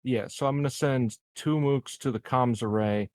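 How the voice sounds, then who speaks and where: A man playing a tabletop game, talking casually and planning aloud in a halting, deliberate way. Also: mid-range voice, a little mellow, dry recording.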